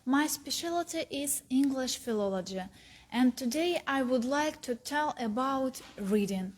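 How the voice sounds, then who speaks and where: Young woman speaking careful second-language English. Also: lively intonation. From Kazakhstan. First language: Russian. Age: young adult. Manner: careful, halting second-language delivery with many "uh" pauses; rises in pitch at the start of each new point. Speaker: university student. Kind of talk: recorded book talk.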